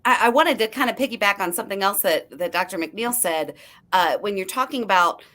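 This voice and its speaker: A woman's voice: medium pitch, lively intonation, a little fast, fairly bright tone. A woman guest on a literacy panel, explaining research in an earnest way and often restarting her sentences. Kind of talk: panel discussion.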